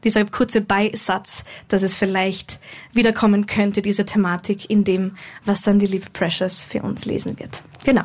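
A woman's voice: mid-range voice, level tone, moderate pace, a little mellow. A woman singer-songwriter informally introducing her song from the stage in a chatty, rambling way.

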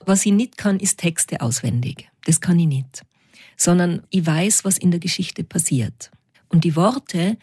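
A woman with a Tyrolean Austrian accent, a storyteller answering interview questions in a lively, reflective way. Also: on the low side, slightly rough, no room sound, clean studio sound. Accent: Tyrolean Austrian German.

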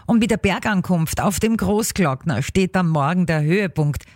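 A woman from Styria with an Austrian accent, presenting a sports news report in a lively, chatty way. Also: lowish voice, moderate pace, no room sound, studio quality. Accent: Austrian German.

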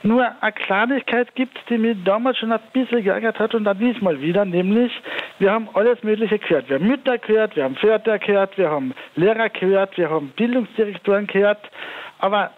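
A man calling into a radio show, earnest, listing his points with halting fillers. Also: high voice. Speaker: caller. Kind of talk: phone call to a radio show. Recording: tinny phone line.

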